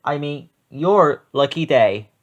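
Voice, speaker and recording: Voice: medium pitch, animated, slow, muffled recording. A storybook reader voicing a confident, bossy female goat who leads the herd. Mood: confident, explaining. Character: Jaina, bold bossy female leader goat.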